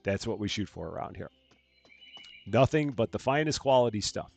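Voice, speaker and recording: A man with a calm, soothing voice, casually talking through a game on a live stream and thinking aloud as he plays. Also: on the low side, moderate pace, gravelly, soft background music. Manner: soft-spoken, rambling, thinking aloud.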